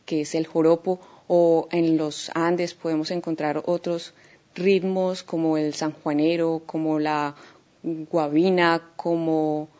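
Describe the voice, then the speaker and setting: Colombian woman, lower voice, unhurried and reflective with frequent pauses; muffled lo-fi capture. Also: level tone, warm tone. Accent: Colombian Spanish. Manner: thoughtful, hesitant with many fillers and restarts. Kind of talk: unscripted personal account. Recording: dull lo-fi recording.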